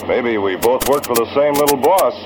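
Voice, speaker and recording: A man's voice: mid-range voice, normal speed, lightly acted. A man acting a cool, commanding masked vigilante posing as a racketeer, speaking tersely in an old-time radio crime drama. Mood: cool, persuasive. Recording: noisy old radio recording.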